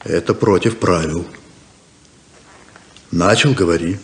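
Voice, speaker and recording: An actor playing a proud, lonely, guarded deputy sheriff in a 1970s radio play, terse and reserved. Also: male voice, low voice, takes it slowly. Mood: guarded, stung.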